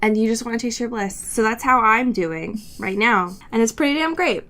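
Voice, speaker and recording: A woman's voice: a little high, normal speed, sharp tone. A woman co-hosting a podcast in casual comedic banter, giggly and given to theatrical exaggeration. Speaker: podcast co-host.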